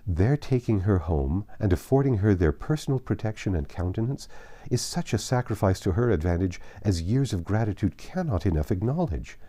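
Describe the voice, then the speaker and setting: A man voicing an earnest, indignant young woman in a storybook reading, measured and emphatic. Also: on the low side, big pitch swings, mellow. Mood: grave, indignant.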